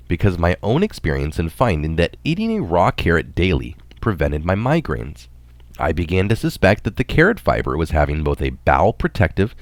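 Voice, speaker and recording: A man's voice: low voice, no echo. A man narrating a scripted science article, reading it aloud.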